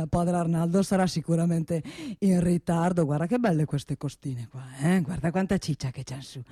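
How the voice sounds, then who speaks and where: A woman's voice: lowish voice, dark tone, dry recording, studio quality, lightly acted. A woman playing an ageing, wealthy male boss in a comic radio monologue, self-satisfied and domineering, rambling and repeating with little questioning tags. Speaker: actor in a comic radio play.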